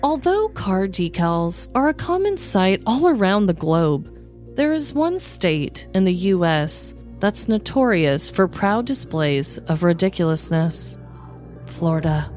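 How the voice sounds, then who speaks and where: A woman's voice: lowish voice, very animated, takes it slowly, a little mellow, soft background music. A woman podcast host who moves between casual chat and scripted, descriptive comedic storytelling.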